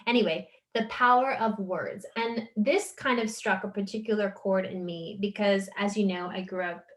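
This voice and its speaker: A woman with a Long Island accent hosting a casual podcast chat, animated and quick to laugh. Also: medium pitch. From United States. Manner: animated, laughs often, talks in quick bursts. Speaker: podcast host.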